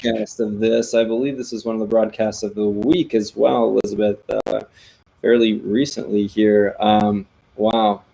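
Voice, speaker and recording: A man's voice: on the low side, flat delivery, normal speed, gravelly, dark tone, low-quality recording. A man co-hosting a casual live chat, friendly and welcoming to guests. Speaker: co-host.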